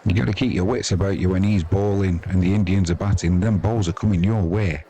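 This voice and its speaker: A man's voice: deep voice, fairly even intonation, brisk, mellow. A man with an English accent giving casual cricket commentary in a chatty, dry-humoured, blunt and slangy way. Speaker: live sports commentator and host.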